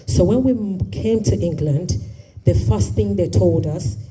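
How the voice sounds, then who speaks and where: A woman's voice: lowish voice, unhurried, warm tone. A woman speaking on a panel, switching between English and Luganda as she describes her upbringing. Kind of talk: panel discussion. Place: community hall.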